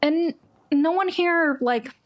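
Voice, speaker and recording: Teenage girl, played by a woman, pitched high, guarded yet friendly, informal halting phrasing, tabletop game character. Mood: defensive. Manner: informal, incredulous, halting teen talk. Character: guarded, casual teenage girl named Callie, defensive but friendly.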